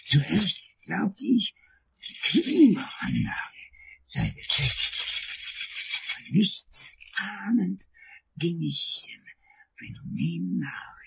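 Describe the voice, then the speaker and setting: A man playing an anxious, long-winded lawyer in a crime radio play, telling his story in a halting, melodramatic, husky and creaky voice. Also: sits high, fairly bright tone, full character voice, low-quality recording. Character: anxious, long-winded male lawyer recounting a night attack.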